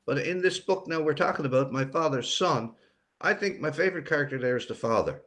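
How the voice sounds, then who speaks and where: A man's voice: fairly high pitch, brisk, fairly bright tone. An older man from Newfoundland, an author chatting casually as an interview guest in a reflective, meandering way. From Newfoundland, Canada. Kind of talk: casual interview talk about writing.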